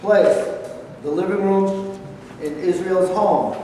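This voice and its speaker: A man narrates stage directions from a script. He speaks very slowly in a fairly high, mellow voice, in a very echoey hall. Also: fairly even intonation, faint music behind. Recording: very echoey hall, distant mic.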